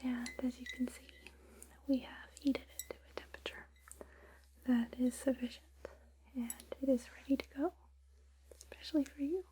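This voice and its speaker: A woman whispering softly and breathily in a soothing, reassuring ASMR personal-attention roleplay, close to a binaural microphone. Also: high-pitched, fairly even intonation, normal speed, long pauses. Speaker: ASMR performer playing a space flight attendant guiding a sleep journey. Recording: close binaural with background hiss and clicks.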